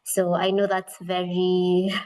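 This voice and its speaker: A woman from Kenya, a founder speaking in a measured, thoughtful way on a panel. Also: lowish voice, monotone, dark tone, poor audio. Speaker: founder of a women-run craft enterprise. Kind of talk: panel discussion.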